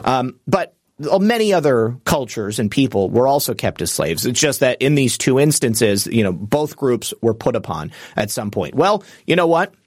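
Male show host, mid-pitched, dry close mic, emphatic off-the-cuff monologue with lots of fillers. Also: big pitch swings, normal speed, a little mellow, husky, dry recording. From United States. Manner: rambling and emphatic, with frequent fillers and restarts. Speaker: political commentary show host. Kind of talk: unscripted political commentary monologue.

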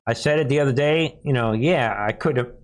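Man, American accent, mid-pitched voice, live political commentary, emphatic, derisive, repetitive for effect. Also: brisk. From United States. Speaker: news commentary show host.

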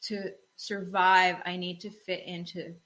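A young woman co-hosting a casual podcast chat, telling relaxed stories and laughing often. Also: fairly low pitch, measured pace. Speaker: co-host.

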